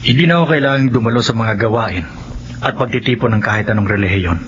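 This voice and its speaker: A man's voice: mid-range voice, fast talker, natural voice. An actor playing a solemn resurrected man who preaches and heals with grave certainty, in a Filipino radio drama. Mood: zealous, certain. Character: solemn resurrected husband turned self-assured preacher and healer. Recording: dull, noisy radio drama audio.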